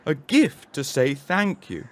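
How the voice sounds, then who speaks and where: A man voicing a kind, grateful storybook scarecrow with a warm, deliberate manner. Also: a little high, very animated, voice pushed up. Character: kind, grateful male scarecrow, gentle friend.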